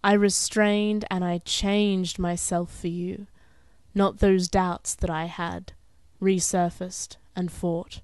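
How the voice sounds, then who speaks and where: A young woman reciting spoken-word poetry in an earnest, measured and dramatic way, with deliberate phrasing. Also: lowish voice, little pitch movement, a little mellow, no echo. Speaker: poet.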